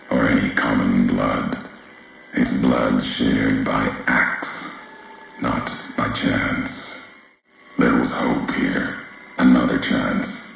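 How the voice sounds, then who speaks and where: A male actor playing a brooding, embittered courier and former soldier in a video game, speaking solemnly and cryptically in weighty, deliberate phrases with heavy pauses. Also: heavily acted. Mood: somber, mournful. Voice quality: very deep, gravelly. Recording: roomy echo, faint background noise.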